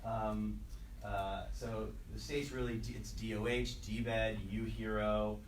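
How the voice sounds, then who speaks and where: A man who is hoarse from a cold gives a halting presentation to a commission, full of fillers and restarts, in a meeting room. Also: on the low side, flat delivery. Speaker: energy program manager presenting to a commission. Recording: echoey meeting room, distant mic, noisy.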